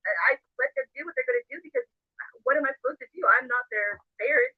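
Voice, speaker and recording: Fast-talking woman on a phone-like line. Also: high voice, big pitch swings, dry recording. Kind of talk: casual chat on a live stream. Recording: narrow phone-like audio, played clip.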